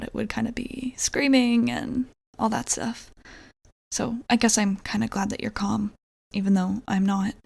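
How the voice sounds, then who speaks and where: A woman playing a shy, apologetic vampire roommate in an ASMR roleplay, speaking hesitantly and gently, often dropping toward a whisper. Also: a little high, vocal fry, husky, exaggerated voice. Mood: awkward, explaining. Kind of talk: ASMR roleplay, softly acted monologue.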